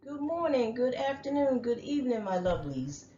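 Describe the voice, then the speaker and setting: A woman reporting celebrity news in a steady, read-out narration. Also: on the high side, moderate pace, far from the mic. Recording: roomy, dull sound with faint music.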